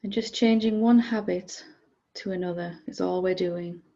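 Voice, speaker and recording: A woman leading a guided meditation as a teacher, speaking gently and calmly with unhurried pauses, softly giving instructions. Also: fairly low pitch, creaky, highs cut off.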